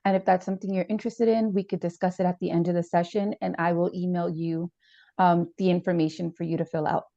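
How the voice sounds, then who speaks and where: A woman's voice: lowish voice, little pitch movement, fast talker, gravelly, dark tone, no echo, muffled recording. A woman playing a student therapist in a role-played counseling session, explaining policies formally and hesitantly, with many fillers. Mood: calm, formal.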